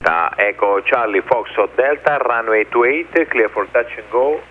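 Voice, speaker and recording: A man's voice: mid-range voice, normal speed. A male air traffic controller with an Italian accent gives procedural instructions over aviation radio. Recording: narrow, phone-like radio with faint static.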